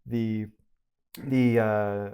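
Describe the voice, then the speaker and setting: Slow, creaky-voiced male game master. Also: on the low side, steady tone, mellow. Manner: halting, searching for words. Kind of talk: improvised tabletop game narration.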